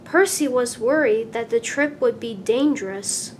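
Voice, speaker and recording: A woman narrates a children's storybook in a high voice, taking her time over each phrase. Her delivery is expressive and deliberate. There is clear rumbling noise behind her. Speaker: storybook reader.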